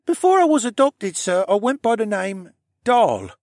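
Audiobook character: nervous, eager teen son, high strained voice that cracks, stammering, dry recording. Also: male voice, sing-song, normal speed, darkish tone, no room sound. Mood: nervous, respectful. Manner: stammering, hesitant. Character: anxious, eager teenage adopted son, boy.

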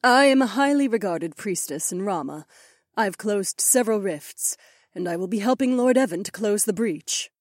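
A woman playing a soft-spoken, steady young princess and priestess in an audiobook drama. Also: medium pitch, moderate pace, no echo, studio quality, lightly acted. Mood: quiet resolve.

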